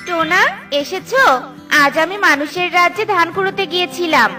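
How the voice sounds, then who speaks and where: Woman acting a small female bird, caring, sensible, tiny high voice, children's cartoon, background music. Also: fairly quick, pushed and bright. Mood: gentle.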